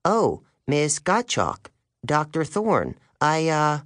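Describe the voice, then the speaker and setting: A man's voice: sits high, expressive, slow, mellow, no room sound, studio quality, pitched above the performer's own voice. Man playing a flustered, eager schoolboy. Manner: flustered, stammering, deferential.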